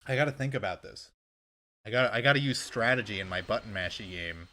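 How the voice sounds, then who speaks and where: Young man streaming, voice going hoarse. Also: lowish voice, animated, fast, pushed and bright. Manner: rambling, swears when frustrated. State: losing his voice. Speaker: game streamer. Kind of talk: casual gameplay commentary.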